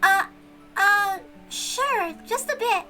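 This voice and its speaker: Woman doing a very high, timid servant girl. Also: lively intonation, very slow, pushed and bright, faint music behind, heavily acted. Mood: shy. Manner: hesitant, stammering, deferential. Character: timid, polite young servant girl, easily amazed.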